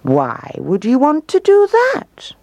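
A wise old-fashioned male owl in a children's storybook, performed by a woman. He ponders aloud in a puzzled, musing way. The voice is raised high and swoops about in a dry recording. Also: huge pitch swings, takes it slowly, warm tone, dry recording, exaggerated voice.

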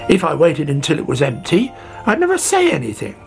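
A cheeky, greedy little boy caterpillar from a storybook acted out by a narrator. The voice jumps high and swings dramatically, whiny and exclamatory, with music behind it. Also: huge pitch swings, fairly quick, creaky. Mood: snappy, indignant. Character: greedy young male caterpillar, cheeky and excitable.

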